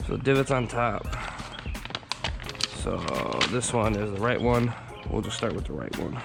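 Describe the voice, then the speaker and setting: A man with a hoarse, raspy voice from just waking up, casually talking through a car install for his vlog on a handheld camera, rambling with plenty of fillers. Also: on the low side, unhurried, loud music behind the voice. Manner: relaxed, rambling, many fillers. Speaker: car vlogger.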